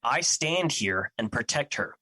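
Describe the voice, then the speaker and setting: Man acting Fear, an earnest, bright-voiced guardian. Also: medium pitch, expressive, husky, dry recording, full character voice. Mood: defensive. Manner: earnest, formal, measured phrasing. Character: Fear, earnest protective personified emotion, male, steadfast guardian. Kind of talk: audio drama acting.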